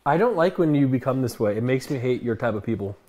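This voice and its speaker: A man's voice: medium pitch, quick pace. A man in his early thirties hosting a casual live stream from his home kitchen, blunt and dry in his replies. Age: early 30s. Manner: blunt, dry, deadpan refusals. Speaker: streamer host.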